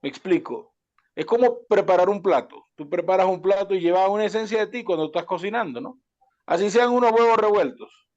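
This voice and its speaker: A slightly high-pitched Venezuelan man you'd hear unmuting in a webinar, muffled by his connection, hesitantly working toward his question. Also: brisk, darkish tone. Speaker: webinar participant asking a question. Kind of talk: spontaneous question to a speaker. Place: online video call. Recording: dull lo-fi online call audio.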